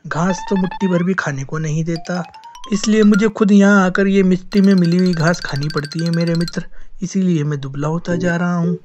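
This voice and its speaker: A woman puts on a timid, overworked donkey from a cartoon fable, his voice dropped low and loud. He grumbles plaintively over clear background music. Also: fairly even intonation, moderate pace, natural voice. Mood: complaining. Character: skinny overworked male village donkey, timid and complaining. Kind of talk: animated animal fable dialogue. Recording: music behind the voice.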